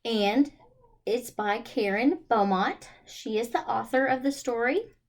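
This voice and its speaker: Woman, children's storytime host, high-ish voice, very unhurried pace, encouraging, exaggerated kid-directed delivery. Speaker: children's librarian hosting storytime. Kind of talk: storytime talk and singalong for young children.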